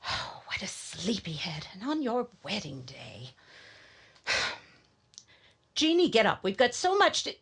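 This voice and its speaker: Woman acting a lively, fussing fond mother. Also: mid-range voice, unhurried, gravelly, long pauses, breathy edge, full character voice. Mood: cheerful coaxing. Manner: coaxing, chiding, lilting. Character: cheerful, fond, fussing middle-aged mother. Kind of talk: live radio horror drama acting.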